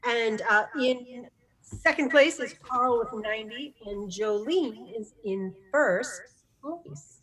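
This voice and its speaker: A woman with a fairly high voice reads out game standings informally. She speaks slowly, taking her time between phrases. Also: a bit bright, poor audio. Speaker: unseen scorekeeper announcer. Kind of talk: unscripted score reading.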